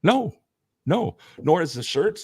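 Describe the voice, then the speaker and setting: A man's voice: on the high side, sing-song, slow pace, breathy edge, dry recording, clean studio sound. A young man from Canada, joking and laughing often while chatting on a video call. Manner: joking, laughs often, says "right?" a lot. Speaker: game night guest. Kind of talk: casual chat. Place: Thailand.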